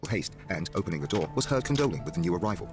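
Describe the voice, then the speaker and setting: A man's voice: low-pitched, lively intonation, racing, over music. A man narrating a story as an audiobook narrator, in a dramatic scripted reading style.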